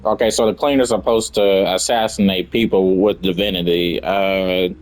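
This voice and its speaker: A man's voice: sits low, fairly even intonation, normal speed, faint music behind, poor audio. A man giving casual, blunt and sarcastic reaction commentary as he streams a game. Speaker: gaming streamer.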